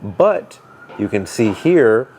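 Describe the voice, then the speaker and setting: A man with a booming voice giving a casual product review, thinking aloud with fillers and restarts. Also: very animated, slow, a little mellow, slight background noise. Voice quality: booming deep voice. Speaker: tech reviewer. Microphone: dedicated microphone.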